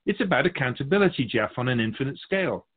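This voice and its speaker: Man playing a wise otherworldly guide with lively inflection. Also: mid-range voice, fast, phone-quality sound. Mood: calm, instructive. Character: the Keeper, wise otherworldly guide of human souls. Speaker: guest answering questions. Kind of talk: conversational spiritual teaching, question and answer.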